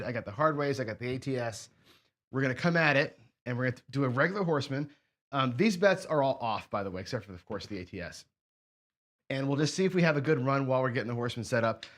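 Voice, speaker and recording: A man hosting a craps strategy show, hoarse and sniffly, chatting casually as he thinks aloud and counts out chips and bets. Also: medium pitch, a bit bright. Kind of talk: casual play-along commentary.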